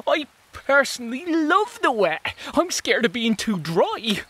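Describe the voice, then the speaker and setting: A man's voice: very high voice, sing-song, measured pace, bright, forceful tone, breathy edge, pitched above the performer's own voice, full character voice. A man voicing a tiny bouncy springtail in a soil story, cheerful and contrary.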